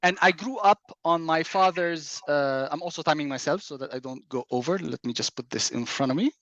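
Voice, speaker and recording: A man from Dubai, a panel speaker telling personal stories over a video call, pausing often with fillers. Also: on the high side, fairly bright tone, no room sound, muffled recording. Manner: hesitant, many uh fillers. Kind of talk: personal storytelling talk.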